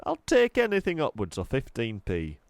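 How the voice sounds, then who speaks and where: A man's voice: mid-range voice, huge pitch swings, normal speed, no room sound, voice pushed up. A man doing a jolly, chatty shopkeeper. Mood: cheery salesy. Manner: eager salesman patter. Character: jolly, chatty middle-aged male shopkeeper.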